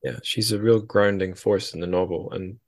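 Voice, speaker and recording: A man's voice: lowish voice, fairly even intonation, moderate pace, creaky. A man hosting a podcast, interviewing a guest in a casual, conversational way.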